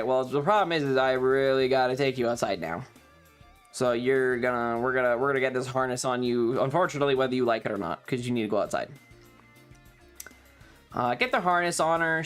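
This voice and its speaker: A man talks like a streamer chatting to viewers, telling a story in loose, halting pieces. His delivery is wry and informal, with some swearing. Music plays faintly behind his voice. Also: medium pitch, a bit bright. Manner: frequent throat clearing. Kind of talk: casual rambling storytelling on a stream.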